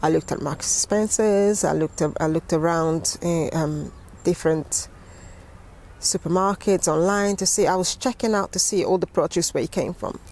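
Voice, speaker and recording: A middle-aged woman with a London accent tells her story as an interview guest, halting and repeating words as she goes. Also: fairly low pitch, a little mellow. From West London, England. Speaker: interview guest, gardening teacher.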